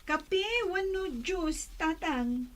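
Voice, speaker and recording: A woman's voice: very high-pitched, drawn-out words, no room sound, heavily acted. A woman playing an anxious, apologetic young daughter in a comedy drama, plaintive and hesitant, with a northern Philippine accent. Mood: meek. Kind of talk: amateur comedy drama acting.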